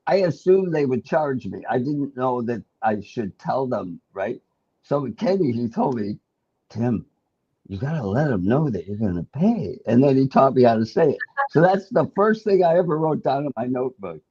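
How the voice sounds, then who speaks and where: A man's voice: medium pitch, animated, normal speed, dark tone, breathy edge, dull audio. A middle-aged American man hosting a casual chat, telling a self-deprecating story and laughing often. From United States. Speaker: host.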